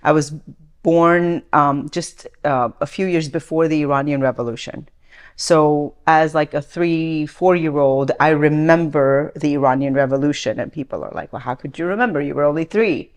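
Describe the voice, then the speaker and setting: A woman's voice: deep voice, moderate pace. A woman in her forties, born in Iran, speaking earnestly and reflectively as an interview guest, with frequent restarts and fillers.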